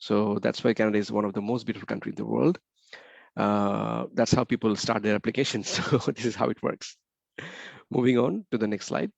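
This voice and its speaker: Rapid-fire gravelly man presenting. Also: on the low side, fairly even intonation, no echo. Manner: rapid, repeats key points, asks rhetorical questions. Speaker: webinar presenter. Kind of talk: slide presentation mixing English and Bengali. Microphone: computer mic on a video call. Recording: dull, slightly muffled webinar audio.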